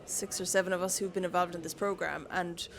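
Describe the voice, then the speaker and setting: A woman's voice: lowish voice, fairly even intonation, brisk, creaky, a crowd in the background. A young woman with a Cork Irish accent, a council worker as the guest in a casual interview, chatty and laughing often. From Ireland. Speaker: city council staff guest.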